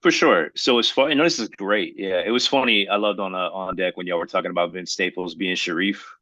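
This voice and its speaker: A man's voice: low-pitched, brisk, sharp tone, dry recording. An American man hosting a casual conversation, posing questions and arguing his points conversationally. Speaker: podcast host. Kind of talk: casual chat.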